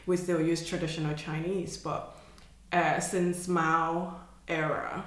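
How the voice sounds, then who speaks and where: A woman's voice: deep voice, little pitch movement, slow pace, fairly bright tone. Woman, hesitant, echoey room. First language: Cantonese. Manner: hesitant, searching for words, laughs often. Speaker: artist guest. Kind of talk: casual conversation.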